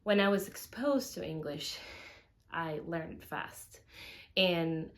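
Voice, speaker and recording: A woman's voice: lowish voice, slow pace. A young woman from Uruguay whose first language is Spanish talks to camera in English with a Uruguayan Spanish accent, hesitating with many fillers and restarts and laughing often. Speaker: cooking channel host. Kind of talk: casual talk to camera.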